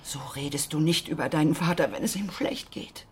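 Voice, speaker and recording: Low-voiced careworn mother, played by an actress. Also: a little fast, slight background noise, close to an everyday voice. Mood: sad, protective. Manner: anxious, tender, emotional. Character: careworn, loving working-class mother of a teenage boy. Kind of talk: German radio play acting.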